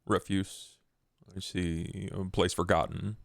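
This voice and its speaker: A man acts out a brooding vampire for a roleplay podcast. The voice is deep and full of gravel, captured close and dry. He speaks formally and deliberately, with quiet menace. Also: little pitch movement, no echo, heavily acted. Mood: brooding, stern. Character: grim, brooding, daunting male vampire. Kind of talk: in-character tabletop roleplay.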